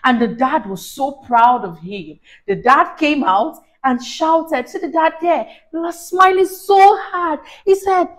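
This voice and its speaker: A young woman with a high voice reads a children's story aloud. She takes her time, speaking expressively like an encouraging teacher, and checks in with questions as she explains the lesson. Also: animated, unhurried, few pauses, a bit bright. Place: small indoor room on a sofa. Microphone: lapel mic.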